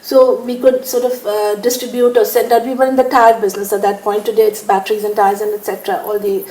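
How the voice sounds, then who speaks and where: A woman's voice: mid-range voice, little pitch movement, fast, warm tone, roomy sound, low-quality recording. An older woman from India talking at an easy pace in an interview, laughing often and using lots of fillers. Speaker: interviewee, businesswoman. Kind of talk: relaxed conversational interview.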